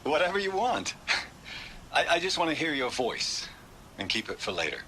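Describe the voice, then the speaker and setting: Tender tinkerer dad: lively pitch, bright tone, recorded playback, faint noise. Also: male voice, moderate pace, low-quality recording. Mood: tender, pleased. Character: fond, tender craftsman father on an old recording.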